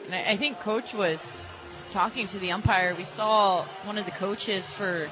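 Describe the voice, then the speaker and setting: A woman giving color commentary on a live softball radio broadcast, chatty and quick to laugh. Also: mid-range voice, rough recording. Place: stadium radio booth.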